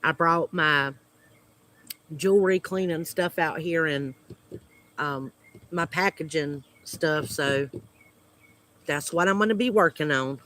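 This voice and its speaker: A Southern US man with a high voice chats slowly with his livestream viewers outside at home. He talks in a hesitant, friendly way, with lots of pauses and fillers. His voice has a bright, forward edge. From United States. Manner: hesitant, many fillers, friendly small talk. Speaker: homesteading channel host. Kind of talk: casual livestream chat with viewers. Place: outdoors at home.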